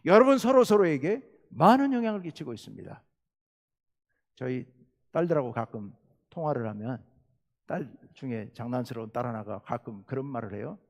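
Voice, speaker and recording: Animated preaching man with Jeolla accent. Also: mid-range voice, creaky. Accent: Jeolla Korean. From South Jeolla, Korea. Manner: earnest preaching, rhetorical questions, rising emphasis. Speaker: pastor. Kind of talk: sermon. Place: church sanctuary. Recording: long church reverb.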